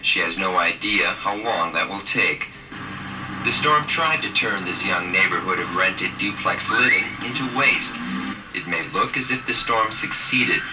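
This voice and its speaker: A man with a fairly low voice delivers a scripted field report for TV news. The sound is distant, with clear noise from an old videotape.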